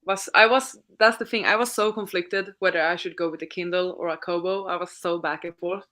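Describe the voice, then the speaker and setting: A woman's voice: fairly low pitch, fairly even intonation, a little fast, pushed and bright. A woman co-hosting a live reading stream, chatting in a friendly way and asking the others questions. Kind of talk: casual chat.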